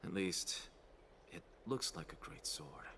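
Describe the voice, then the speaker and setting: Aloof, low-voiced sardonic professor. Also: male voice, animated, slow, creaky, sharp tone, slight background noise, heavily acted. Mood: dry. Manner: dry, dismissive, grave. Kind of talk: video game voice acting.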